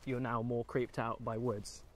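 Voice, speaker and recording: A man's voice: mid-range voice, little pitch movement, a little slow. A young British man chatting casually and jokily outdoors as he co-hosts a film vlog on a walk. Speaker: co-host of a film discussion vlog. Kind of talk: casual chat while walking. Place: outdoors, walking in woods and a golf course.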